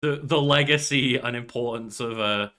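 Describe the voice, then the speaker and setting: Bright, forceful young man chatting. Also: mid-range voice, moderate pace. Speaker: podcast co-host. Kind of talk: casual movie chat. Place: indoor room, on a video call.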